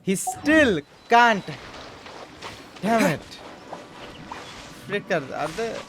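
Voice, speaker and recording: A man's voice: sits high, sing-song, extremely slow, background noise. A man giving casual gameplay commentary as a let's player, muttering and repeating himself in exasperation.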